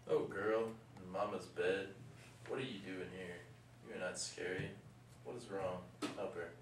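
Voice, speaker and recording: A man plays a big, puzzled father bear in a puppet show, with a deep voice. He speaks haltingly, far from the mic, with clear noise around him. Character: Papa Bear, puzzled big adult male bear. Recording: distant, roomy, clearly noisy.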